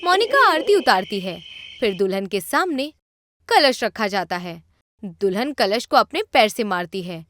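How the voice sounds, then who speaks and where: A woman narrating a scripted animated horror story. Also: medium pitch, measured pace, no echo.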